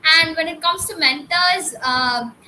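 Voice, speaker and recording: A woman's voice: very high voice, unhurried, sharp tone, slight background noise, low-quality recording. A young female student with an Indian accent giving an earnest, emphatic answer as a panelist.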